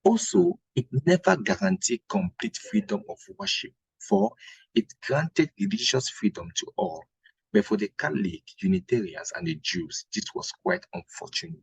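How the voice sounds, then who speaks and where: A Cameroonian man teaches an exam class in a rough, very husky voice. His pitch moves in a lively way as he explains points didactically and repeats key phrases. The sound is close and dry. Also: normal speed, no echo, rough recording. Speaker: history teacher. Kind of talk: exam revision lesson.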